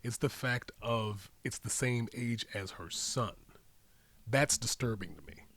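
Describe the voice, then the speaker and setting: A man's voice: mid-range voice, unhurried, a bit bright. A man co-hosting a podcast, giving his view in a casual discussion.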